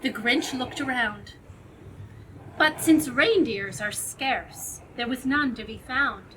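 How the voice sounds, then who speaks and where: A woman with a fairly high voice reads a children's storybook aloud. She takes it very slowly and dramatically, stretching words out. She sounds a bit distant, with faint noise behind her. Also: a bit bright. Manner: theatrical, deliberate, with drawn-out words. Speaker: storybook reader.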